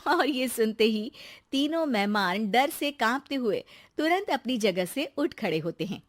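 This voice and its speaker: A woman narrating a cartoon moral story in a lively storytelling manner. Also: a little high, measured pace, no room sound, studio quality.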